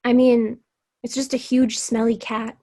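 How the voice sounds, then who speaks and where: A woman's voice: a little high, flat delivery, a little slow, dark tone. A woman playing a pushy, pragmatic circus performer, insistent and casual, in a staged reading of a play. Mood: dismissive. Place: remote, on a video call.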